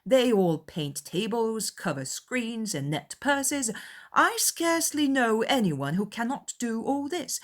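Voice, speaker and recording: A woman voicing a cheerful, easygoing, excitable young gentleman in a dramatized period novel. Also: on the high side, slow pace, pitched above the performer's own voice. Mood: breezy, amiable.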